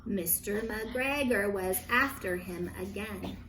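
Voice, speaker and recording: A woman teacher reading a children's story aloud with animated emphasis, dramatic pauses and brief asides to her young listeners. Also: medium pitch, slight background noise, low-quality recording.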